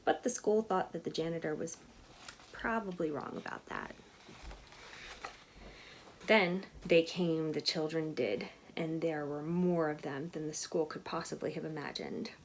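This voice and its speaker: A woman reading a picture book aloud to children in an expressive way, with chatty asides to her young listeners. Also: low voice, a little slow, slight background noise, rough recording. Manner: animated, expressive storytelling with questions to listeners.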